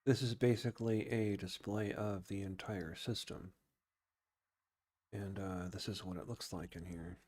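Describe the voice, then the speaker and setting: A man's voice: steady tone, unhurried, a little mellow. A man streaming a game, giving casual commentary and short voice commands. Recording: clear cabin rumble behind the voice. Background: spaceship game ambience.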